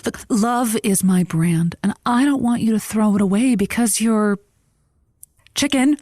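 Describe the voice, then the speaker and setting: A woman's voice: mid-range voice, unhurried, a little mellow, dry recording, studio quality, natural voice. A woman playing a flirtatious, wisecracking radio love-show host in a comedy audio drama, playful and heavy on innuendo. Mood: pleading, teasing. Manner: innuendo-laden, playful, punning.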